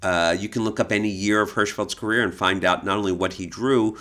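A man co-hosting a podcast in casual conversation, explaining things in a measured, hesitant way. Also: on the low side, steady tone, pushed and bright. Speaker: podcast co-host, art expert.

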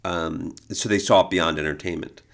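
A man's voice: low voice, fairly even intonation, normal speed, vocal fry, a bit bright. A man co-hosting a podcast, explaining things knowledgeably in an informative, conversational way. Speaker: podcast co-host.

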